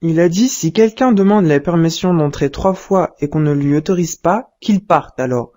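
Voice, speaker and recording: Cartoon dad, played by a woman: wise, patient, devout, measured teacher's delivery, lowish mellow tone. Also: lightly acted. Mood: calm, instructive. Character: calm, wise, pious father advising his young son. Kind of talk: didactic cartoon dialogue.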